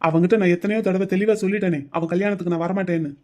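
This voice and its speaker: A clipped, dismissive elder brother, as a performer puts him on in an audio drama, speaking low and cold. He keeps his answers short and distant. The tone stays mellow and dark. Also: steady tone, racing, natural voice.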